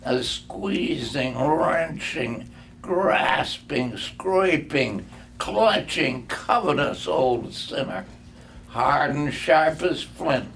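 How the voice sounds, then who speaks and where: Gravelly older man reading a story aloud. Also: on the high side, lively intonation, very slow, a bit bright. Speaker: reader of a classic story. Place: live reading in a room. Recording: faint background noise.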